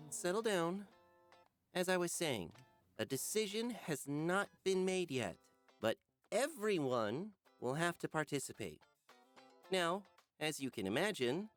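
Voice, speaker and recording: An actor voicing an upbeat, dry-humored male middle school teacher in a visual novel, talking theatrically to a class. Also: medium pitch. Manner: theatrical, addressing a class of children. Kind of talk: animated visual novel character acting.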